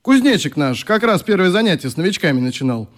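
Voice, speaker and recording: Friendly club leader in a radio play, pretty high voice for a man, teasing storyteller. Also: quick pace. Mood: amused, teasing. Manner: animated, teasing, mimics people he quotes. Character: easygoing male youth club leader, fond storyteller. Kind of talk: radio drama acting, storytelling in dialogue.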